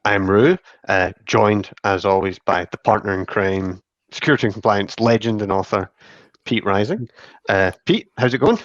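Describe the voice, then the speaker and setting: A man's voice: fairly low pitch, moderate pace, no room sound. Informal male host, dull dry recording. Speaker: podcast host. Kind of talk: casual show introduction.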